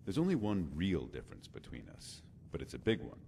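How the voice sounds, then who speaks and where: A man acts out a thoughtful, genial poet-bard from a fantasy video game. His baritone is extremely low with a gravelly edge, and it moves with lively, expressive melody as he muses in an easygoing way. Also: a little fast, a little hiss or hum, heavily acted. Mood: musing. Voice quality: deep baritone. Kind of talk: scripted fantasy game dialogue.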